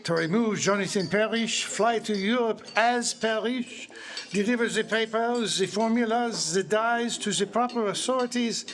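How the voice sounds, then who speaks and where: High-voiced, pompous Belgian detective. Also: male voice, normal speed, a bit bright. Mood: smug explaining. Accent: French-speaking Belgian, put on. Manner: precise, theatrical, self-satisfied explaining. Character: Belgian detective, courtly, pompous, confident man. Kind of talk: live radio-style mystery drama performance. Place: public library live stage before an audience.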